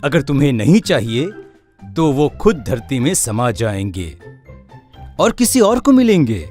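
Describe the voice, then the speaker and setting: A man's voice: medium pitch, sing-song, a little mellow, faint music behind. A man playing a sly, greedy fake swami in a cartoon moral story, speaking in grand, theatrical pronouncements. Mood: grand, solemn. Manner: slow, theatrical, pompous pronouncements.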